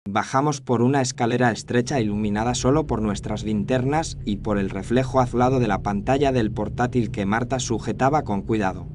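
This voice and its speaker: A man's voice: medium pitch, brisk, few pauses, darkish tone. A man reading a scripted first-person horror story as its narrator. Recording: faint noise and ambience behind narration.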